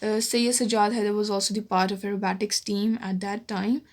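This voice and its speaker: A woman's voice: medium pitch, little pitch movement, a little fast. A woman casually showing and reading from a book as a vlogger, in an amateur recording. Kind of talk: casual book flip-through and read-aloud.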